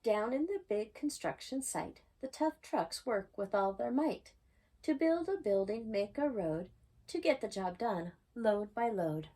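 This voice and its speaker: Female children's storyteller, higher register, unhurried pace, gentle singsong bedtime read. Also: lively intonation. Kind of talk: read-aloud children's bedtime picture book narration.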